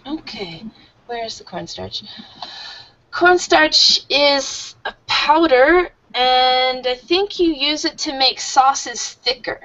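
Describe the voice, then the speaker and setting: Woman teacher, higher pitch, explains slowly and warmly, dull lo-fi online call audio. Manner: friendly, explains slowly with fillers. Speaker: English teacher.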